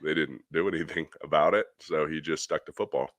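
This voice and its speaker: Very deep-voiced sick man. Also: brisk, a bit bright, dry recording. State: sick, under the weather. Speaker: co-host.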